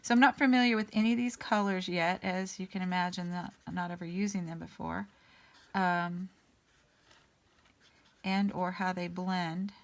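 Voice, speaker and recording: A woman hosting a casual coloring session, a little raspy as she gets over an illness, thinking aloud in an unhurried way with many ums. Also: on the low side, steady tone. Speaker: artist and channel host. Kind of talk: casual color-along chat.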